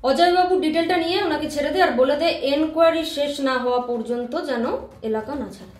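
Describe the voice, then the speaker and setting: An actress playing a composed, commanding police officer in a crime drama, questioning formally. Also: female voice, high-pitched, quick pace, lightly acted. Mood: firm, commanding. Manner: formal, probing, authoritative. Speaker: police investigator. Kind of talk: short crime drama acting. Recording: echoey room, music behind.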